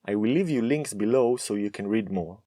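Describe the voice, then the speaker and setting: A man teaching a Hebrew lesson, speaking slowly and deliberately with firm emphasis. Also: lowish voice, mellow, no room sound, clean studio sound. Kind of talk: scripted lesson to camera.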